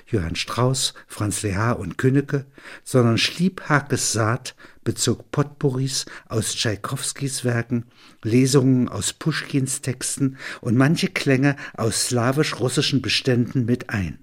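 A man with a husky voice of medium pitch reads literary prose. His delivery is measured and reflective, as if thinking the material through. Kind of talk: literary narration with reflective talk.

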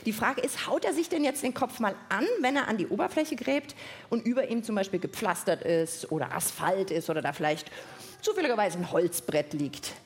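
A woman hosting a live science podcast for children, speaking in an animated way and often putting questions to her audience. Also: medium pitch, brisk. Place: indoor live podcast festival stage. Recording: echoey live venue, faint noise.

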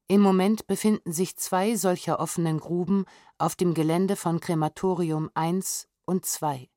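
A woman with a slightly low voice reads from a script for a documentary audio edition. She is sober and measured, articulating precisely, recorded very dry and close with no room sound. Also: darkish tone, dry recording. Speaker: reader of historical documents.

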